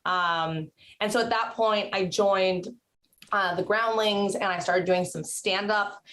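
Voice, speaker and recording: A woman's voice: mid-range voice, steady tone, takes it slowly, fairly bright tone. A woman in her thirties who grew up in Mexico, a guest talking casually over a video call, chatty, with many fillers and restarts. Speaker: interview guest, actress and writer. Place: indoors at home, curtained room.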